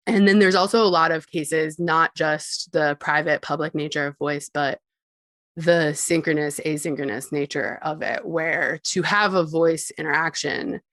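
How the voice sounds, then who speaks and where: A woman's voice: low voice, fairly even intonation, unhurried, fairly bright tone, no room sound, clean studio sound. A woman speaking as an expert guest in a podcast interview, explaining ideas in a thoughtful, articulate and conversational way, often saying "like". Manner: thoughtful, articulate, peppered with "like" and small chuckles. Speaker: interview guest, tech company CEO and co-founder.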